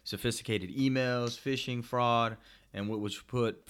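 A man's voice: mid-range voice, fairly even intonation, measured pace, a bit bright, clear, resonant tone. A man co-hosting a casual tech podcast, chatty and teasing, talking straight to the listeners. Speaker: podcast co-host.

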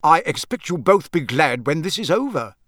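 Man as a mild wizard father, raised pitch. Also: very animated, moderate pace, fairly bright tone, dry recording, clean studio sound. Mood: mild, tentative. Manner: tentative, earnest. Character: mild, tentative middle-aged wizard father, mildly exasperated. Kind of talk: audiobook character voice.

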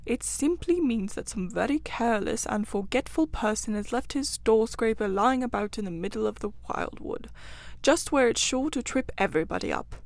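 A woman's voice: fairly high pitch, expressive, moderate pace, dry recording. A woman voicing a grumpy, literal-minded male mole in a storybook, with a plaintive, pettish, stubbornly matter-of-fact manner. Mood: grumbling.

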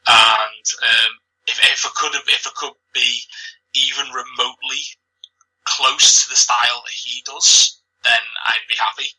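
Husky Scouse man with a flat voice. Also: medium pitch, unhurried, rough recording. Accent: Liverpool. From Liverpool, England. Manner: hesitant, many ums and restarts. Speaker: wrestling commentator, guest. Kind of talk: casual podcast interview.

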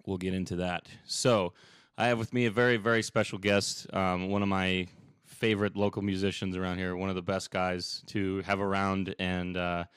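A man's voice: low-pitched, little pitch movement, moderate pace, fairly bright tone, smooth, no echo, clean studio sound. A young man hosting a podcast interview, chatting casually and rambling with lots of fillers and restarts. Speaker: podcast host.

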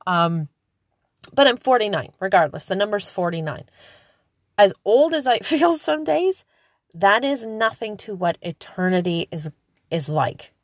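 A woman in her forties delivers an informal sermon with chatty fillers. Her pitch leaps high on emphatic calls. The recording is dry and close. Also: very animated, unhurried, no echo. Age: 40s. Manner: conversational with frequent fillers. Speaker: preacher. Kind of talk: informal preaching.

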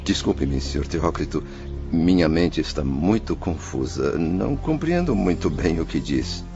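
A man's voice: very deep voice, very animated, measured pace, over music, heavily acted. An actor playing a confused, pleading male spirit, an anguished father, in a religious radio drama, speaking deferential, emotional Brazilian Portuguese on a dull recording. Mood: apologetic, confused.

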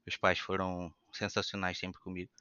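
Casting: young Brazilian man, lightly European-tinged accent, animated storyteller, bright and crisp, slightly dull lo-fi audio. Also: low-pitched, normal speed, no echo. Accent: Brazilian Portuguese with some European Portuguese influence. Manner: animated, chatty, acts out quoted voices. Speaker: podcast guest. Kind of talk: casual storytelling interview.

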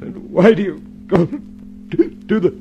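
An actor playing a broken, tormented prisoner in a radio drama, halting and anguished, desperate but still defiant. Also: male voice, high voice, expressive, muffled recording, soft background music. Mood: halting, pleading. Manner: halting, anguished, repeating words. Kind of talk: mid-century radio drama acting.

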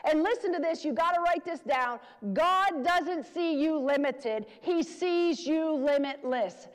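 High-voiced woman preaching in a reverberant hall. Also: unhurried. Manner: exhorting, repeats phrases for emphasis. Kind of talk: sermon.

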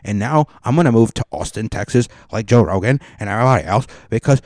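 A man puts on a rambling Californian guy calling in to a podcast. He meanders from point to point with endless "like" fillers, in a low, mellow voice. The sound is dry and close. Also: animated, fast, no echo, lightly acted. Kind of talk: comic podcast bit.

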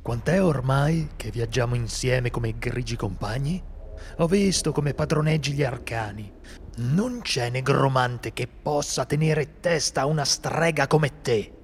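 A man playing a seasoned, solitary monster hunter in an amateur radio drama, speaking gravely and steadily. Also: mid-range voice, unhurried, a little hiss or hum, close to an everyday voice. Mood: warm. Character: seasoned male monster hunter, brooding loner.

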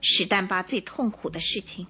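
A woman narrating a radio drama as a scripted story, in a dramatic, expressive storytelling manner. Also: medium pitch, normal speed, slight background noise.

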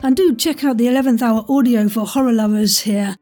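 Husky Englishwoman hosting a chatty quiz. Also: a little high, fairly quick, warm tone, faint music behind. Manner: jokey, rambling, self-interrupting asides. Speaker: podcast host and quizmaster.

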